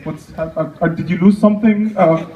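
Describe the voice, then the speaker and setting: A young man doing conversational stand-up comedy, halting and self-deprecating, acting out short exchanges. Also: high-pitched, fairly even intonation, mellow, recorded from a distance. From Egypt. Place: live stand-up show in an echoey room. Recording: dull, echoey live room recording.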